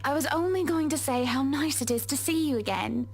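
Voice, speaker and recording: A woman's voice: sits high, brisk, natural voice. A woman voicing a refined, composed grown woman who speaks in a formal, polite way. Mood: gracious.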